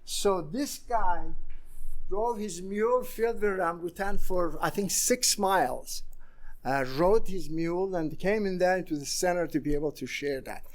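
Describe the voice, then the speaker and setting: Man in his sixties, Iranian accent, higher voice, halting conference talk. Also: low-quality recording. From Iran. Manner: halting, many fillers and restarts. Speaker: conference speaker. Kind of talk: conference presentation.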